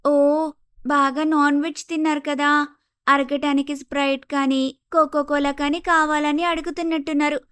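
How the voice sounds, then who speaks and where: A woman's voice: high voice, little pitch movement, normal speed, a bit bright. A woman voicing a polite, naive young daughter-in-law with an Andhra Telugu accent, exclaiming in a cartoon story. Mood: puzzled. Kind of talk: acted dialogue in animated moral story.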